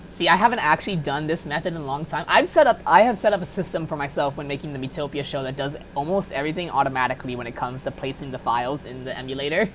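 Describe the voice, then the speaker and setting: A woman giving a casual, unedited software tutorial in a rambling, self-deprecating, apologetic way. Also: low voice, quick pace, a little hiss or hum. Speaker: tutorial maker.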